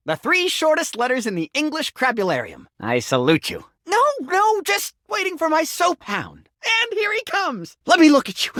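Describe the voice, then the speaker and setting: A man's voice: sits high, sharp tone, no echo, clean studio sound, exaggerated voice. A male actor voicing an eccentric, frantic talking sink, secretly a cursed man, in a theatrical video game style with melodramatic, pompous declaiming. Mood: quizzical, pompous, then doting, manic. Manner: melodramatic, absurdist, swinging between pompous declaiming and shrieks.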